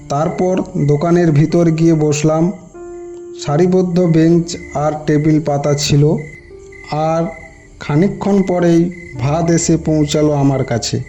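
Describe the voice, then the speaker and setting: A man tells a horror story in the first person, dramatising it as he goes. His voice sits a little high but has a very mellow, rounded tone. Music plays clearly behind him. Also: muffled recording. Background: background music.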